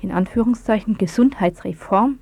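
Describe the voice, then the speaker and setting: A woman's voice: medium pitch, moderate pace, a little mellow, no echo. A woman presenting a scripted news report on the radio.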